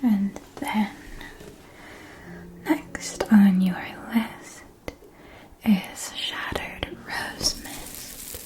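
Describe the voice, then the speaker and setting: A woman whispering softly and breathily in a scripted ASMR roleplay as a gentle magic shopkeeper, enunciating each phrase with care. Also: medium pitch, steady tone, low-quality recording. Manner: slow, deliberate, careful enunciation.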